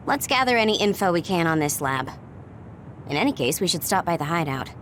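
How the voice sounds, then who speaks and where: A woman's voice: lowish voice, big pitch swings, a little fast, a little hiss or hum. A woman playing a shrewd young soldier in a video game, wry, blunt and matter-of-fact. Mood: calm, wry. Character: shrewd, dry young female tech-savvy soldier. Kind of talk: dramatic video game acting.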